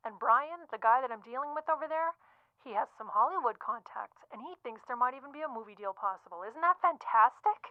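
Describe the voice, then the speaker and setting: Through a thin, dry telephone line comes a high female voice as a brisk literary agent in a radio drama. She is upbeat, slangy and pushy, cajoling breezily as she talks. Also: expressive, no echo. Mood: excited, cajoling. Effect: telephone filter. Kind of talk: audio drama acting, chatty phone-call delivery. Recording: tinny, narrow phone-line sound.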